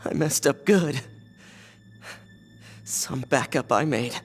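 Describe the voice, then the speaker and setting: A man's voice: mid-range voice, slow, natural voice. An actor playing a wounded young male soldier in a game, speaking weakly but trying to sound encouraging. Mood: weak, hopeful. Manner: strained, weak but encouraging talk. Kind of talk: game cutscene voice acting. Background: music.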